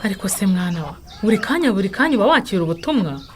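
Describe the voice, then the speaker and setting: A woman acting as an affectionate Rwandan mother who teases gently in a radio play. She talks quickly at a middling pitch, with faint animal and bird sounds behind her. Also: sing-song, slight background noise, close to an everyday voice. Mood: teasing affection. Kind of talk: radio drama dialogue.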